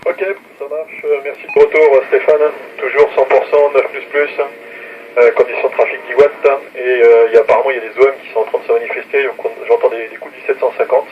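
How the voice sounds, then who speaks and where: A man's voice: mid-range voice, flat delivery, fast talker, a crowd in the background. A man speaking as an amateur radio operator, giving signal reports in a courteous, methodical way over a two-way radio. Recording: noisy, muffled two-way radio transmission.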